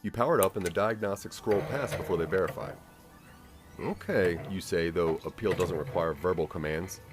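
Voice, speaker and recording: A man's voice: deep voice, moderate pace, music in the background. A man narrating a scripted audio drama in the second person, as a storyteller addressing the listener.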